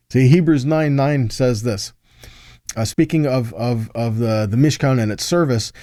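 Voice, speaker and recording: A man with a fairly high, soft-edged, mellow voice teaches from scripture. He explains as he goes, leaning on repeated phrases and adding little check-in questions to keep listeners following. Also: dry recording, clean studio sound. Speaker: Bible teacher. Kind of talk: sermon teaching with scripture read aloud.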